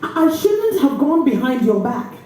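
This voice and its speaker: A woman's voice: sits high, very animated, normal speed, warm tone, distant mic, voice pushed up. A woman voicing a distressed teenage girl, earnestly imploring on behalf of her friend in a story reading. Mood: pleading. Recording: very echoey room, faint noise.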